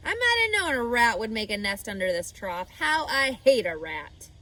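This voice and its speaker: A woman's voice: on the high side, very animated, moderate pace, pushed and bright. A woman voicing a farmhand man who grumbles and exclaims in disgust.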